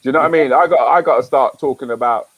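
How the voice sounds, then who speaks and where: Relaxed man in his fifties hosting. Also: mid-range voice, very fast, slightly rough, dry recording, phone-quality sound. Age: 50s. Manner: relaxed, conversational, rhetorical questions. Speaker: chat show host. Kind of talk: casual chat.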